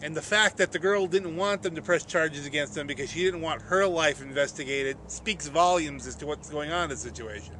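A man's voice: on the high side, brisk, few pauses, sharp tone. A middle-aged man giving conversational, emphatic sports commentary to a camera in an open field. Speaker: vlogger. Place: open grassy field outdoors. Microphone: camera at a short distance. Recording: outdoor camera audio with noise.

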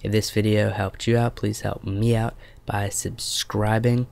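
A man's voice: fairly low pitch, flat delivery, a little slow, gravelly, darkish tone. A man narrating a step-by-step computer tutorial as its host.

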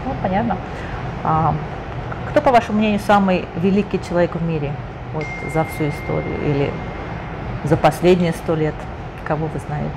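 A woman's voice: low-pitched, fairly even intonation, normal speed. A woman interviewer asking chatty, admiring questions in a casual interview from a lounge. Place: lounge with leather sofas and bookshelves, indoors. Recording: noisy room ambience.

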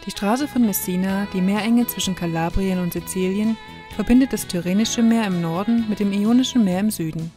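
A woman's voice: medium pitch, a little fast, mellow. A woman reading a scripted travel narration for a vlog. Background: music.

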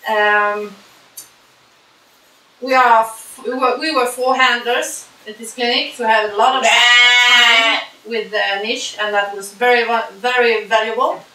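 A woman's voice: a little high, steady tone, unhurried, bright, forceful tone. A woman in her forties with a Swedish accent, speaking to camera about a clinic she organized, with hesitant phrasing and frequent restarts. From Sweden. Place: large barn with sheep, indoors. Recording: echoey barn with faint hiss.

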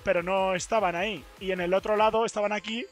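A hoarse young man who has lost most of his voice, chatting excitedly to his stream on a headset mic in a studio booth. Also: sits high, quick pace, soft background music. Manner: excited, repetitive. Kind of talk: casual stream chat.

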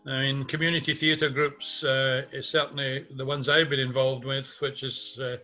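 A man's voice: mid-range voice, level tone, moderate pace, pushed and bright. An older man from Scotland with a Scottish accent, reminiscing thoughtfully and hesitantly in an interview over a video call. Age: 60s or older. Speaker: interviewee, community theatre music director. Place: small home room indoors. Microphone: webcam video call. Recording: muffled video call audio, music underneath.

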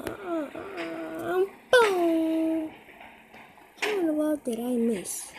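A teenager giving casual, excitable commentary while playing a mobile game, repeating short phrases and calling out to other players. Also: high-pitched, background noise. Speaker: gamer. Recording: game music loud behind voice.

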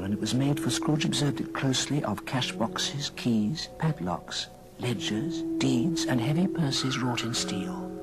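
A man narrating a story dramatically, with deliberate pauses, in old radio drama style. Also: mid-range voice.

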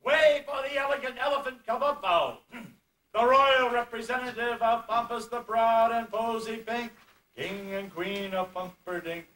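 A man playing a pompous royal herald in an amateur puppet play, making grand drawn-out proclamations. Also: extremely high pitch, fairly even intonation, pushed and bright, heavily acted. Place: indoor puppet stage, far camera. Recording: distant, echoey, faintly noisy old camcorder tape.

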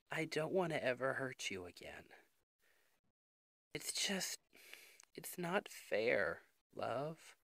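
A man playing a worried, devoted young boyfriend in an intimate ASMR roleplay, speaking in an earnest, emotional, halting way. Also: on the high side, fairly bright tone, no room sound, studio quality, heavily acted.

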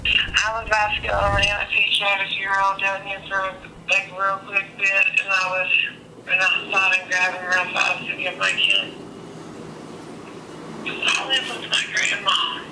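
A woman's voice: medium pitch, flat delivery, measured pace. Woman mumbling over a noisy phone line. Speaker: prank call target. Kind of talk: casual phone conversation. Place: at home in bed, at night. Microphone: heard through a phone speaker. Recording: tinny phone line, loud noise.

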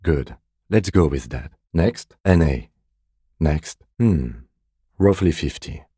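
A male actor playing a composed, meticulous police detective in a video game, with a measured, restrained, dryly stern delivery. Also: a bass voice, animated, dark tone, husky, no room sound, clean studio sound, exaggerated voice. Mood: businesslike. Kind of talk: scripted game dialogue.

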